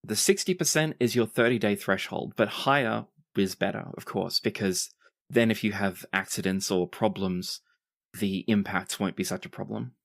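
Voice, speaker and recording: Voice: deep voice, normal speed, gravelly, dry recording. Game master with a dry, close sound. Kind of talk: explaining tabletop game rules and narrating.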